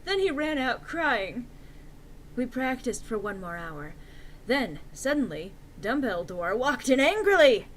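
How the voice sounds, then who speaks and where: A woman's voice: fairly high pitch, sing-song, unhurried, slight background noise, low-quality recording. A woman narrating a story aloud in a theatrical, emphatic, comic dramatic-reading style.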